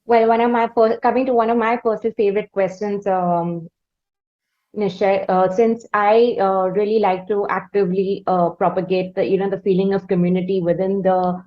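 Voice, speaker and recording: A woman with an Indian accent asking polite, formal interview questions in wordy, halting phrases. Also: mid-range voice, fairly even intonation, highs cut off.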